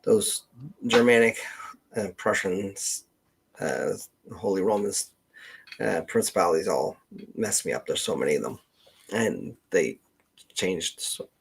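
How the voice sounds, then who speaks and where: A man with a gravelly, husky voice, hosting a casual question-and-answer chat and haltingly thinking aloud. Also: deep voice, animated. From Canada. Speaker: host and genealogist taking questions.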